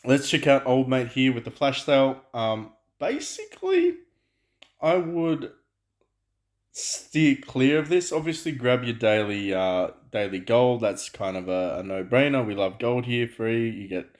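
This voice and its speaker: A man hosting a gaming channel, talking loudly and chattily through gameplay with many fillers and restarts. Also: medium pitch, very animated, measured pace. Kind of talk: casual gameplay commentary. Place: home room, night, dogs and frogs outside.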